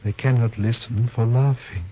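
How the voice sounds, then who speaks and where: An actor in a 1950s radio drama playing an ugly, desperate fugitive who speaks in florid, halting Shakespearean phrases. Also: male voice, medium pitch, steady tone, husky, natural voice. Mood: bitter, sorrowful. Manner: florid, theatrical, halting speech. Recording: dull, noisy old radio recording.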